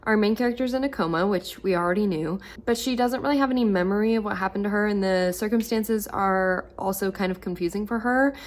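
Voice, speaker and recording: A woman in her twenties chatting casually at home about books, a little hoarse from losing her voice and filling her talk with "like". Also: mid-range voice, fairly quick, few pauses. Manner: chatty, lots of "like" fillers. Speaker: book vlogger. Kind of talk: casual reading vlog.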